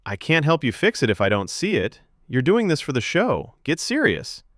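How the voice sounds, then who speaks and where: Male friend in a serial story, relaxed, teasing, blunt, animated inflection done by a male storyteller. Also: a little high, normal speed, dry recording, studio quality, voice pushed up. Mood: pushy, amused. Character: Chris, easygoing young man, teasing, blunt rehearsal coach.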